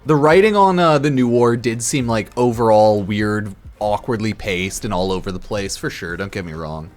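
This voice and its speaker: A man's voice: lowish voice, moderate pace. A slightly drunk man giving casual, rambling game commentary as a streamer, blunt, profane and opinionated. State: a bit drunk. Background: music under the voice.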